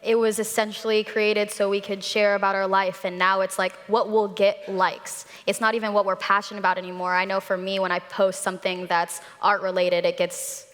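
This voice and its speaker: A woman's voice: mid-range voice, flat delivery, fairly quick, few pauses, a bit bright. A young adult woman talking casually as a panelist. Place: large hall, public panel. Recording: echoey hall, amplified panel.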